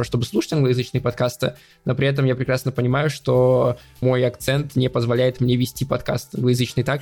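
A young man as a podcast guest, explaining his plans at length in a measured, casual way. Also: medium pitch, vocal fry, darkish tone. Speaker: podcast platform editor-in-chief, guest.

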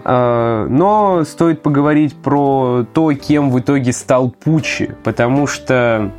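A man's voice: medium pitch, takes it slowly, darkish tone, faint music behind. A man co-hosting a casual podcast, retelling anime plot points with hesitant fillers and the odd swear. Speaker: podcast co-host. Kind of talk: casual chat about anime.

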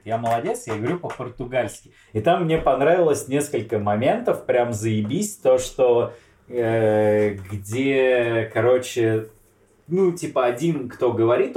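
A man in casual conversation, swearing a lot and retelling scenes with energy. His voice sits at a middle pitch. The room around him is echoey. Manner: animated, swears freely, retells scenes with quoted lines. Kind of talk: casual chat.

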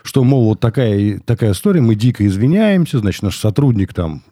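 A man hosts a casual podcast in a low, soft-edged, mellow voice. He is stuffed up with a cold and speaks colloquially, getting worked up as he goes. The sound is dry and close, with no room around it. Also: lively intonation, fairly quick, dry recording. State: congested with a cold. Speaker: podcast host. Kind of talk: casual conversational podcast talk.

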